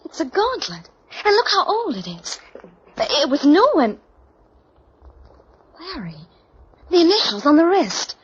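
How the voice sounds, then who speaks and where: A woman's voice: very high voice, sing-song, a little slow, pauses often, exaggerated voice. An actress playing an anxious, sensible young woman caught in a mystery, speaking earnestly in an old radio drama. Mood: uneasy wonder. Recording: muffled, faintly hissy old radio recording.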